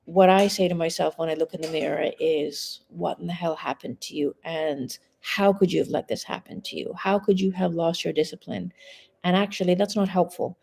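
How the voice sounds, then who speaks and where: Frank, low-voiced woman in her forties. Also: fairly even intonation, normal speed, creaky, darkish tone, husky. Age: 40s. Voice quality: frank, conversational, swears casually. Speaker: mindset coach. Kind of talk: casual live-stream monologue.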